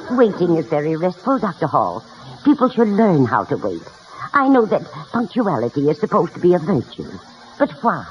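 A spry, genteel old lady of radio comedy, outspoken and playfully contrary. Her pitch moves in lively swings. The sound is a dull old radio recording with faint noise. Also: moderate pace. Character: spry, outspoken elderly mother enrolling in college. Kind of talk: 1950s radio comedy acting.

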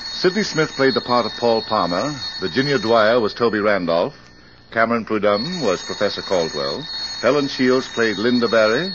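An actor playing a courteous club librarian who serves as announcer of a 1940s radio mystery. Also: male voice, on the low side. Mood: formal. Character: courteous male club librarian, announcer taking phone calls. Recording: muffled old radio recording, faint noise.

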